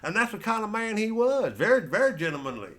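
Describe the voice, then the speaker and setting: A man's voice: high-pitched, sing-song, quick pace, a bit bright. An American man doing stand-up as a rambling comic storyteller, with a folksy, colloquial and meandering delivery. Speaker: stand-up comedian.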